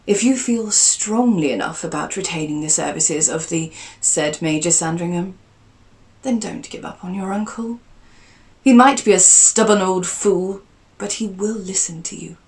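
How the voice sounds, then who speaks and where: A woman's voice: on the low side, moderate pace, darkish tone, distant mic, lightly acted. Woman doing a prim, fond aristocratic aunt.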